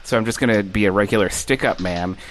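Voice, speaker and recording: A man's voice: lowish voice, quick pace. A man co-hosting a casual podcast, wry and rambling as he retells a film. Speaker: podcast co-host.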